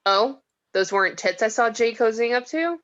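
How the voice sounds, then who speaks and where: A woman's voice: a little high, expressive, moderate pace, a bit bright. A woman playing a skeptical, exasperated mortal in a script table read, with a sarcastic manner. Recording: dull lo-fi home mic.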